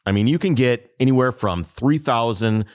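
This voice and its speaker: A man's voice: mid-range voice, normal speed, no echo, clean studio sound. A man hosting a podcast, telling a long story in casual chat and acting out the people he quotes. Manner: animated storyteller, acts out quoted exchanges. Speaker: podcast host.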